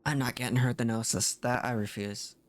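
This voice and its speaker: A woman's voice: a bass voice, fairly even intonation, a little fast. A woman game streamer giving casual commentary as she plays a video game. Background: game music.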